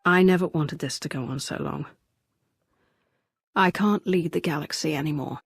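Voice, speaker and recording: Gravelly, husky female commander. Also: sits low, moderate pace, no room sound, clean studio sound, full character voice. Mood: weary, resolute. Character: weary, forgiving female galactic Alliance commander. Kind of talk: video game cutscene acting.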